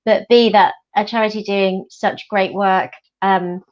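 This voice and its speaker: A woman's voice: medium pitch, steady tone, unhurried. A woman chairs a panel, chatty and a little hesitant, with lots of fillers. The audio is dull and lo-fi. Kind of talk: panel moderating.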